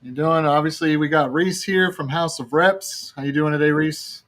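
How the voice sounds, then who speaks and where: A man's voice: a little high, a bit bright. A man hosting a casual podcast over a video call, greeting guests in an easygoing way, with fillers and restarts. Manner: friendly, easygoing, halting with fillers. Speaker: podcast host.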